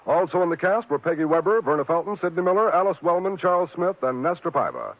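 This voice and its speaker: A man's voice: on the high side, big pitch swings, fast. A man working as a network radio announcer, reading scripted 1950s broadcast announcements. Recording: tinny old radio broadcast recording.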